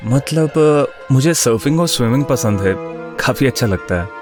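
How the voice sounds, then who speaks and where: A man's voice: medium pitch, expressive, normal speed, close to an everyday voice. A man plays a friendly young male student in a cartoon story. His voice is mid-range and laid-back, with a casual, mildly teasing delivery. Faint music runs behind him. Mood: matter-of-fact. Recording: music bed under the voice.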